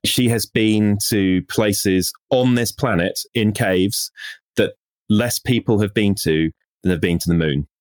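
A very low-voiced man in easygoing podcast banter, talking to his co-host. His voice is recorded close and dry, with no room around it. He sounds relaxed and a little teasing. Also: a little slow, no echo. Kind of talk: casual podcast chat.

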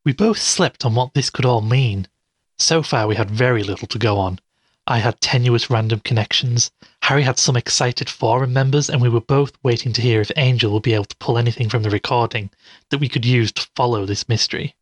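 A tired, sceptical podcaster in an audio drama, played by a man. His voice sits at a middling pitch and is recorded very dry. He talks casually and without fuss, like someone telling his own mystery. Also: brisk, slightly rough, dry recording, close to an everyday voice. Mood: measured narration.

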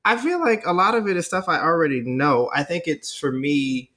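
A man's voice: fairly high pitch, animated, moderate pace, sharp tone. A young man with a nasal voice co-hosting a casual podcast chat, self-deprecating and full of restarts. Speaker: podcast co-host.

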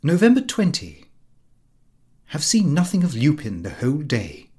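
A man narrating a comic diary in the character of a prim, put-upon, pompous middle-class gentleman. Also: fairly high pitch, huge pitch swings, normal speed. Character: fussy, easily affronted middle-class Victorian gentleman diarist. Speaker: audiobook reader.

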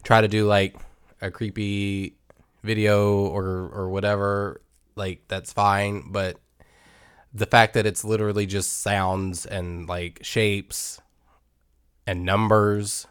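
Smooth clear podcast voice, medium pitch, halting casual chat, bit sweary, dry sound. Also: little pitch movement, no room sound. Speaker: podcast co-host.

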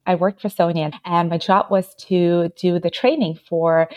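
A woman in her thirties from Ukraine, Ukrainian her first language, speaks at a low pitch and tells her story in a reflective, easygoing way. Her voice sits close and dry, with almost no room sound around it. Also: steady tone, normal speed, no room sound, highs cut off. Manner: reflective, telling stories with small laughs. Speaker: podcast guest. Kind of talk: casual interview talk.

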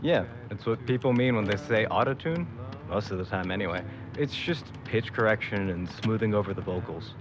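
A man's voice: fairly low pitch, expressive, a little fast, natural voice. A man acting in an audio drama as a teasing young musician who drives a van, with a dry, bantering manner. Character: laid-back young musician driving his own van, teasing. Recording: dull audio under loud vehicle noise.

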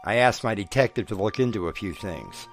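An attorney with a lively, swinging voice, pressing hard and tripping over his words. Faint music sits beneath him in this radio horror play. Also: on the low side, a little fast, vocal fry. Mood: accusing. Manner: probing, then agitated and stammering. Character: confrontational male attorney, growing panicked.

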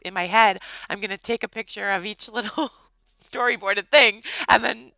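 A woman co-hosting a casual podcast chat, her voice slightly scratchy and tired, talking in a chatty, animated way. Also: medium pitch, creaky, no echo. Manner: chatty, animated, quick laughs. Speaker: podcast co-host.

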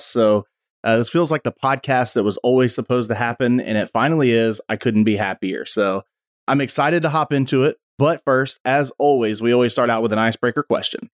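A man hosting a podcast interview, chatty and friendly, reading sponsor copy smoothly. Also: mid-range voice, a little fast, dry recording, clean studio sound. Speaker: podcast host. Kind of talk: casual interview chat.

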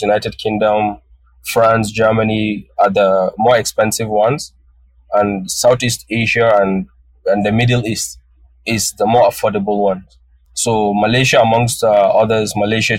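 A man's voice: on the low side, no room sound, poor audio. A man from northern Nigeria whose first language is Hausa, answering interview questions thoughtfully and often restarting his phrases. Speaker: guest photographer.

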